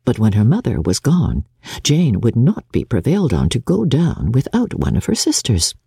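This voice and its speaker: A woman narrating classic literature as a dramatic reading, in a measured, expressive storytelling manner. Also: rumbling low, vocal fry, slightly rough, no echo, clean studio sound. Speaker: audiobook narrator.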